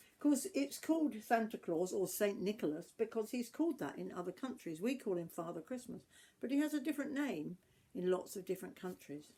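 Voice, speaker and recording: Casting: older British woman, fairly low-voiced grandmother telling children an expressive bedtime-style story. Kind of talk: reading a Christmas poem aloud to children.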